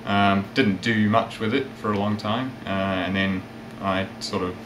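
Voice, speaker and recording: A man of about thirty with a mixed South African, English and Australian accent, chatting casually and a little haltingly as an interview guest. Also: lowish voice, over music. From South Africa, with years in England and Australia. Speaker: interview guest, ukulele player and magazine editor. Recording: roomy echo, steady background noise.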